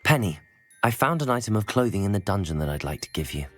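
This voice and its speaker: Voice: low voice, very animated, fast, faint music behind. An actor playing an earnest, self-assured young student hero in a fantasy video game. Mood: kind. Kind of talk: video game character acting.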